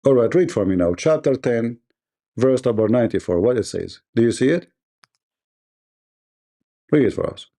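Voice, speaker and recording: Combative host with a mellow, dark voice. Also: male voice, medium pitch, expressive, normal speed, pauses often. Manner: combative, repetitive and quizzing. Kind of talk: live-stream debate.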